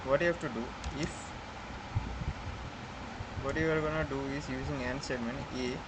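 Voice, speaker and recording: A man from India walks through a coding lesson in a mid-pitched, everyday voice, stopping often to restart his sentences. Loud background noise from an air conditioner runs under the whole recording. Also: steady tone. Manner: halting, many fillers and restarts. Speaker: programming tutor.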